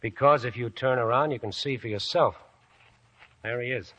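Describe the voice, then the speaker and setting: An actor playing an earnest young prospector who speaks straightforwardly, in an old radio play. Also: male voice, mid-range voice, normal speed, sharp tone, husky. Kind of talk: 1940s radio acting. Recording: dull lo-fi old radio recording.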